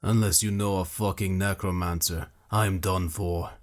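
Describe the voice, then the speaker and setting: A gruff, coarse warrior growls out blunt words in a low, grumbling voice, as a man reads him aloud. The voice sits deep and the delivery is slow and heavy, like a storybook fighter. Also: steady tone.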